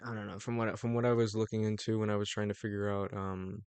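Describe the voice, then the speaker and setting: A young man rambles through a casual livestream chat in a low, gravelly voice. He chuckles often and keeps restarting his sentences around fillers, and the sound is dry and close. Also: little pitch movement, quick pace, darkish tone, dry recording. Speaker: streamer.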